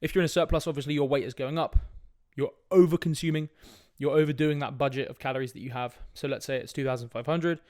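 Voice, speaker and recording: A man's voice: on the high side, fairly even intonation, quick pace, few pauses, a little mellow, dry recording. Sniffly young man, dry close sound. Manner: direct, casual, swears, frequent "right?" asides. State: sniffly with a cold. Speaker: fitness coach podcast host. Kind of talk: casual solo podcast talk.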